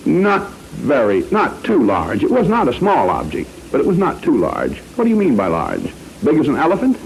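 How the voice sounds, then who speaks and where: A man's voice: a little high, wildly swooping pitch, moderate pace. A man hosting a 1940s radio quiz show, hesitating and tossing in joking asides. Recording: noisy vintage radio broadcast.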